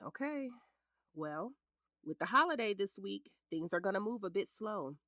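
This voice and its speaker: An actress with an American accent playing a sharp-tongued, protective middle-aged lawyer and scornful ex-wife in an audio drama, blunt and commanding. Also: female voice, medium pitch, expressive, normal speed, dry recording, clean studio sound. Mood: businesslike, steady.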